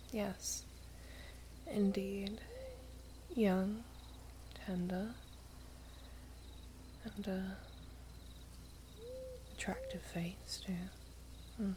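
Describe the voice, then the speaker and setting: A woman playing an ageless, gently teasing, wistful elven maiden in an ASMR roleplay, speaking softly and half-whispered with archaic, unhurried phrasing. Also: mid-range voice, slight background noise. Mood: intrigued, gentle. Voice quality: soft, breathy, half-whispered. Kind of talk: ASMR roleplay, slow intimate storytelling.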